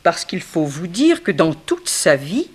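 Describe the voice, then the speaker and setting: Lively, frank young dancer. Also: female voice, lowish voice, unhurried, breathy edge, heavily acted. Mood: wistful, candid. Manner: theatrical, expressive, gossipy. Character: frank, wry young dancer, murdered woman's goddaughter. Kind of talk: early 1960s French radio mystery acting.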